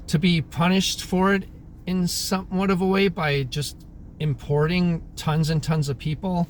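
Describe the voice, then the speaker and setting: A man in his thirties, born in Croatia, airs his views in a loose, unscripted monologue. He stops to clear his throat and restarts his sentences often. His voice sits slightly high for a man, with a faint hum of vehicles in the background. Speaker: vlogger. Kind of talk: casual opinion monologue. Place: in Alberta, Canada.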